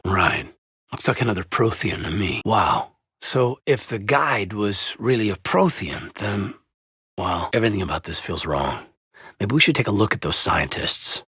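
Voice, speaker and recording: A man playing an earnest, loyal soldier officer in a space-war video game, with a husky, raspy voice and a sincere, measured manner. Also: lowish voice, a bit bright, dry recording, clean studio sound, heavily acted. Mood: reflective. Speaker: video game squadmate character. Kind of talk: dramatic video game voice acting.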